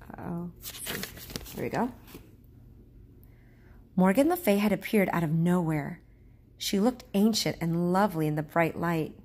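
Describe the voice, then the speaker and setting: Slow, husky woman reading aloud. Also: fairly low pitch, a little mellow. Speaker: teacher reading aloud to children. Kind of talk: children's storybook read-aloud.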